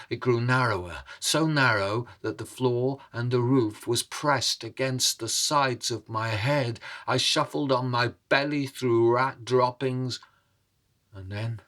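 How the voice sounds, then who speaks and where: A man telling a tale as a storyteller, in a measured, theatrical way with suspenseful pauses. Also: mid-range voice, fairly bright tone. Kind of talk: dramatic oral storytelling over music. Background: instrumental music.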